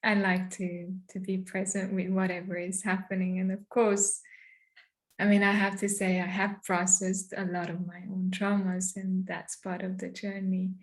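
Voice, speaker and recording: A woman with a Hungarian accent, a native Hungarian speaker, speaking as an interview guest over a video call, soft, thoughtful and halting. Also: mid-range voice, flat delivery, poor audio. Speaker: guest, trauma counselor. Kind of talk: reflective interview talk.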